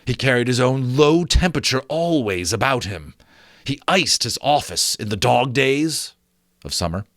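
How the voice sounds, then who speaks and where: A man reading a story aloud as dramatic narration, emphatic and theatrical, drawing out words and pausing for effect. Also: mid-range voice, no echo, studio quality. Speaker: audiobook reader.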